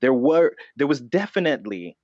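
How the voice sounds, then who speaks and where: A man voicing a solemn, deluded vigilante notebook dressed as a bat in an improvised comedy interview, with a weird scary voice and halting, earnest delivery. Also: mid-range voice, big pitch swings, darkish tone, no echo, muffled recording. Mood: frustrated, suspicious. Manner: halting, earnest, self-serious.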